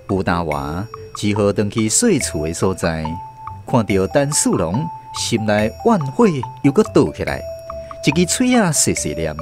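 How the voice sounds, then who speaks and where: Animated Taiwanese male storyteller over music. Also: lowish voice, unhurried. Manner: expressive storyteller. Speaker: narrator. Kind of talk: scripted radio drama storytelling.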